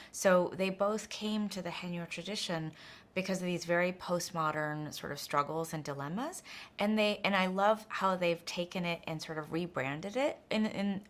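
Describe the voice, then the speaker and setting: Low-voiced woman director, thoughtful. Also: a bit bright. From United States. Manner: thoughtful, with frequent restarts. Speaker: documentary director. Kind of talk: press interview answers.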